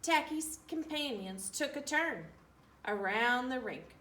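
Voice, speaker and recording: Roomy, high-pitched woman reading a storybook. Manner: animated, deliberate, singsong delivery. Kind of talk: expressive children's storybook read-aloud. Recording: roomy, distant mic, faint room noise.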